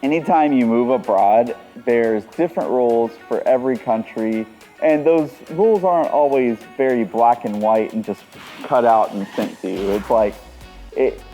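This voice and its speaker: A man's voice: medium pitch, mellow. A man from the United States chatting casually in a travel vlog, talking easily and conversationally, recorded outdoors at a harbor. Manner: easygoing, conversational, loose with fillers. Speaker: vlogger.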